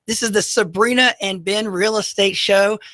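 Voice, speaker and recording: A man with a high, slightly goofy voice hosting local talk radio, talking to listeners directly in a folksy, salesy way. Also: steady tone, normal speed, bright, forceful tone, no echo. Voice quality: high-pitched, goofy-sounding voice. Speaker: radio show host. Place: radio studio.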